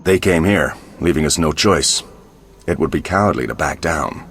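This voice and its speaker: An actor playing a brash, defiant monster hunter in a video game, scornful and combative. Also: male voice, very deep voice, moderate pace, fairly bright tone, a little hiss or hum.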